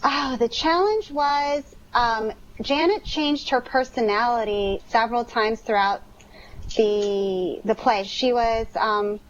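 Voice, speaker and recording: Friendly higher-voiced woman, lo-fi line. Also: slow. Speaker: guest actress. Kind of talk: casual interview talk. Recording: dull lo-fi line with clear noise.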